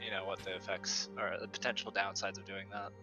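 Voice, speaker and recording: A man's voice: low-pitched, little pitch movement, a little fast, bright, forceful tone. A man chatting casually as a player in a tabletop roleplaying game. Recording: dull lo-fi audio under music.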